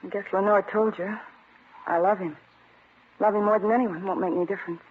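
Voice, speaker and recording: Actress as defensive, lovesick American woman in radio crime drama: emotional, raspy edge, very narrow vintage sound. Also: medium pitch, steady tone, fairly quick, lightly acted. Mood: pleading, lovesick. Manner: pleading, emotional. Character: anguished, defensive woman in love with a suspect. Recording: tinny, narrow old radio recording.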